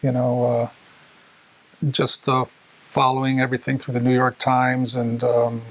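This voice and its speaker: A middle-aged man halting and hesitating often as he casually recalls personal experience. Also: mid-range voice, completely flat delivery, a bit bright, low-quality recording. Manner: halting, hesitant, many fillers. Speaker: interviewee.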